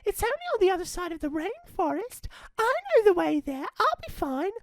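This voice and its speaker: A storybook girl of seven, bright-eyed and stubborn, whining to be trusted. Her lines leap far above the reader's own pitch and swoop all over, close and dry to the mic. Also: very animated, moderate pace, no room sound, exaggerated voice. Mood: pleading. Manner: whiny, insistent. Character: eager, stubborn seven-year-old girl.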